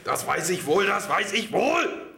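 A man's voice: high-pitched, sing-song, unhurried, gravelly, a crowd in the background. A man in a casual rehearsal, exclaiming short repeated phrases in a roomy space with other people behind him. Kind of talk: casual rehearsal, slogan takes. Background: other people in the room.

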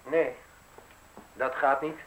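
An actor playing a defiant, sceptical newspaperman in a radio play, with a husky voice and a curt, probing delivery. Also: mid-range voice, steady tone, slow pace, noisy background, natural voice. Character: defiant, sceptical male newspaperman facing arrest, sharp-witted. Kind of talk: Dutch radio play acting.

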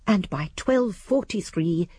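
Elderly English lady sleuth, prim, shrewd, very breathy and husky, expressive intonation, radio drama narration. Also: medium pitch, takes it slowly, no echo. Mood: prim, gossipy. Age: older. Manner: prim, precise storytelling. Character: genteel, shrewd elderly spinster sleuth, primly judgmental.

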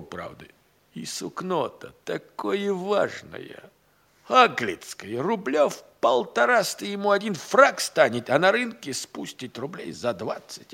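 Grumbling servant, slow drawl, swooping pitch, crafty and earthy, theatrical audio-play monologue. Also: male voice, vocal fry, a bit bright, full character voice. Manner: folksy, drawling, sighing, grumbling, relishing his words. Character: grumbling, hungry, idle manservant, crafty and earthy.

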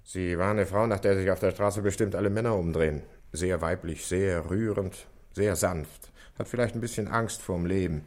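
A man's voice: deep voice, normal speed, a little mellow, lightly acted. An actor in a 1960s German radio play, playing an earnest, impressionable junior police detective giving his report. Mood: smitten.

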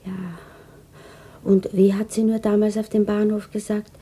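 An actress playing a troubled, grieving woman in a radio play, brooding in a hushed, intimate inner monologue. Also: medium pitch, flat delivery, normal speed, husky, natural voice. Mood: anxious brooding.

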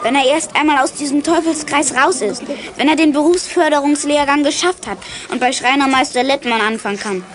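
A woman's voice: high voice, a little fast, a little hiss or hum, close to an everyday voice. A woman playing a loyal, optimistic young member of a detective gang in a children's radio play. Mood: reassuring.

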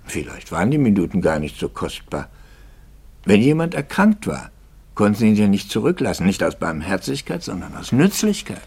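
Low, gravelly, troubled priest. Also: male voice, big pitch swings, normal speed, heavily acted. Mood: urgent, insistent. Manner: brooding, articulate, shifting from hushed to impassioned. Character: troubled, anxious priest, intense and probing, quietly bitter. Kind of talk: 1950s German radio drama acting. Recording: 1950s radio drama recording.

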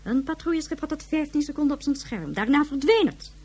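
An actress in a Dutch radio drama playing a brisk, sardonic high official who speaks formally, curtly and dryly. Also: female voice, expressive, a little mellow. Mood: measured, grave. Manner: formal, curt, dry.

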